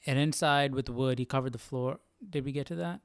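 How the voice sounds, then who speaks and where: A man's voice: mid-range voice, fairly quick, clear, resonant tone, no room sound, studio quality. A young man hosting a casual study livestream, musing aloud as if to himself. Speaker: livestream host. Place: small indoor room.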